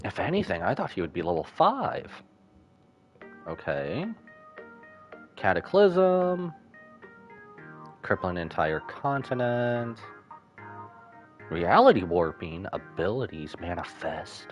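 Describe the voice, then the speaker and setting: A male game streamer with a lively, widely swinging pitch gives casual reaction commentary. He mixes muttered asides with exclamations, with some music faintly behind him. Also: takes it slowly, pauses often, lo-fi sound.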